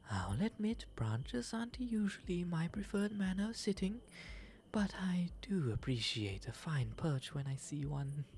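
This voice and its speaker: Refined owl demon gentleman, played by a man, intimate ASMR roleplay: soft breathy delivery, unhurried and eloquent, noticeable background noise. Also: a little high. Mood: amused, playful. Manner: genteel, eloquent, unhurried phrasing.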